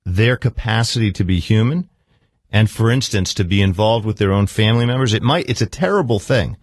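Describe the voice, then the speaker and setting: A man's voice: fairly low pitch, brisk, dry recording, clean studio sound. An American man working as a therapist in a conversational interview, probing with questions and reflecting answers back. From Marblehead, United States. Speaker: therapist conducting an on-air session.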